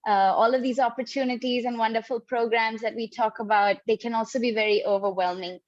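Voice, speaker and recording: A woman's voice: a little high, steady tone, brisk, dry recording. A young woman on a panel giving earnest, encouraging advice over a video call, often using "like" as a filler. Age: young adult. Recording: dull lo-fi sound.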